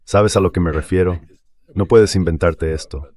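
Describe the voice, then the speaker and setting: A man's voice: deep voice, normal speed, a little mellow. A man giving conversational political commentary as a translated voice-over.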